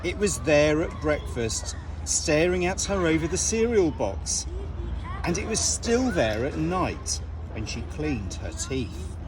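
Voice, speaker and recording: A man reading a children's storybook aloud in an expressive, deliberate way, with dramatic pauses. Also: fairly high pitch, noisy background.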